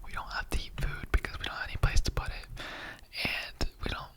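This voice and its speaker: Whispering ASMR skeleton character, rambling and casual. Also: brisk. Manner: rambling, conversational, slangy. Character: casual, mildly offended skeleton interrogating humans on Halloween. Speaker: ASMR roleplay creator.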